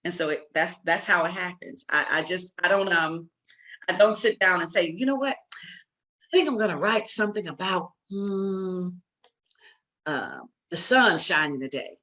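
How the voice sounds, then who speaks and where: Woman guest, low pitch, bright forceful tone, emphatic, conversational, repeats herself. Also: unhurried. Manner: emphatic, repeats phrases, acts out her own thoughts. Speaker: guest, playwright. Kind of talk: conversational interview answers.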